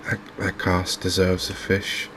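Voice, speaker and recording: A soft-spoken man giving unhurried ASMR commentary on a video game. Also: low-pitched, monotone, noisy background. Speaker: gaming video host.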